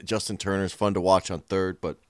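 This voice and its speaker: A man in his thirties with a hoarse, raspy throat, explaining things in a knowledgeable, low-key way during a casual chat. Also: flat delivery, fairly quick, no echo, studio quality. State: hoarse today. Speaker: co-host.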